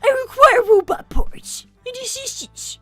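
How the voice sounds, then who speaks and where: A woman's voice: very high voice, unhurried, soft background music. A woman voicing a smug five-year-old boy fox, a scheming little genius with pompous, formal speech, in improvised livestream roleplay.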